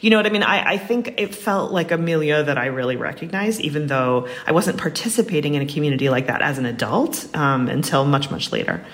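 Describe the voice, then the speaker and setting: A woman's voice: deep voice, expressive, fairly quick, hardly any pauses. An American woman answering interview questions in a chatty, enthusiastic way with colourful turns of phrase. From Western Massachusetts, United States. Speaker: interview guest, writer. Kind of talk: animated, conversational interview answers.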